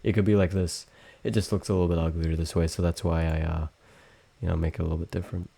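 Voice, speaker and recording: A young man hosting a live trading stream, explaining charts in a monotone, halting way with many restarts. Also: low-pitched, vocal fry, breathy edge. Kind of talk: casual live trading lesson.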